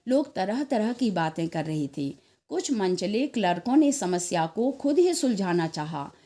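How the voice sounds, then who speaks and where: A woman narrating a scripted story expressively, in a measured way, as a storyteller. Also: mid-range voice, warm tone. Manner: measured, acts out dialogue.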